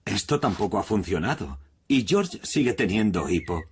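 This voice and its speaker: A man's voice: lowish voice, sing-song, normal speed, slight background noise. A man with a Castilian Spanish accent narrating a children's cartoon from a script.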